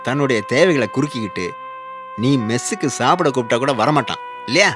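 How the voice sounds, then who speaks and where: A man playing a middle-aged, worldly-wise neighbour in a radio drama. He has a medium-pitched voice and lectures with pointed questions, blunt but caring. Music sits clearly behind the speech. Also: animated, a little fast, lightly acted. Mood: admonishing. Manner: admonishing, rhetorical questioning. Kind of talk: Tamil radio drama acting.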